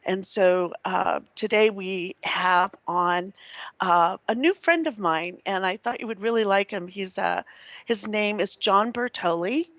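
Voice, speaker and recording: A woman's voice: mid-range voice, a little slow, a bit bright, dry recording. A woman hosting a radio show in casual, unscripted talk, chatty and effusive as she meanders from thought to thought. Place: live internet radio broadcast.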